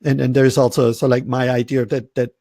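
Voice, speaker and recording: Gravelly man hosting a video call. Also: mid-range voice, flat delivery, a little fast, warm tone, no room sound, clean studio sound. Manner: rambling, self-interrupting, chuckles. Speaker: host. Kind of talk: casual webinar chat.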